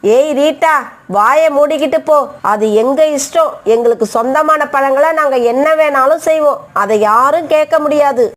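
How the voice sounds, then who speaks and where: A woman's voice: high voice, normal speed, natural voice. A woman voicing a sly, greedy and brazen female crow in a cartoon story. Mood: rude, defiant.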